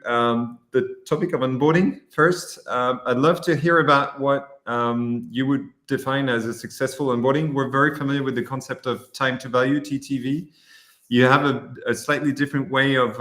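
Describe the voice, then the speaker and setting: A man's voice: mid-range voice, fairly bright tone. Friendly man hosting a webinar, echoey. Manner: friendly, many fillers. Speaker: webinar host, company founder.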